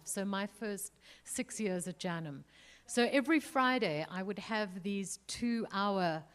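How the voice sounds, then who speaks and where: A middle-aged woman from South Africa with a South African accent, speaking earnestly at a panel, with frequent ums and restarts. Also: mid-range voice. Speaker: museum CEO, panelist. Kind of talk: panel remarks. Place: event hall.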